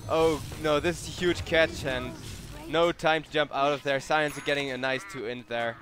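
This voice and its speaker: A man's voice: on the high side, fairly even intonation, normal speed. A tired young man from Germany, casting a live game on his own and chatting to viewers between plays. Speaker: esports caster. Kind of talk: solo live game commentary.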